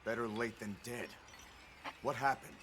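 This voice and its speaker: An actor playing a stern, guarded village elder who speaks with formal courtesy in a video game. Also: male voice, medium pitch, steady tone, measured pace, lightly acted. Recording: game audio with clear background noise.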